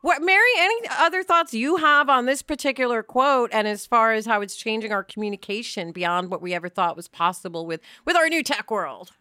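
A woman hosting a casual panel chat, emphatic and quick to jump in. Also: fairly high pitch, animated, pushed and bright, dry recording, studio quality. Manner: emphatic, interjecting. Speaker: podcast host.

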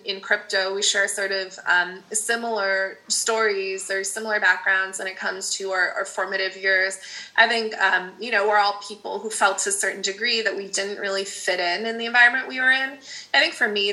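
A woman's voice: medium pitch, sharp tone, low-quality recording. A woman in her thirties, a native Dutch speaker raised in the Netherlands, chatting candidly as a podcast guest and laughing often. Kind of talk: casual podcast interview.

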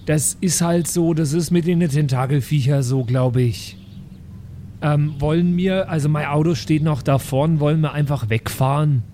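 A man playing a sullen, defensive teacher who shrugs off responsibility in slow colloquial speech, in an improvised audio play. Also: a little high, dark tone, a little hiss or hum, natural voice. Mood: defensive, evasive.

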